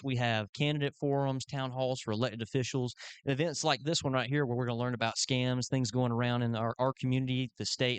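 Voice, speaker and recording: A man opens a small community meeting in a friendly, halting way, filling gaps with "uh" and restarting his words. His voice is smooth and clear and close to the mic. The room adds almost no echo. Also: mid-range voice. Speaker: club chairman and event host. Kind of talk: opening a community meeting with prayer.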